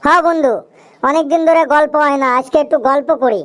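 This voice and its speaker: A very high, small cartoon voice plays a talkative young friend. The speech is in a homely eastern Bengali dialect. The delivery is earnest and emphatic, like someone lecturing a pal. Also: fast, fairly bright tone. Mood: chatty, friendly. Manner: preachy, emphatic, colloquial. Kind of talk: cartoon dialogue with moral advice.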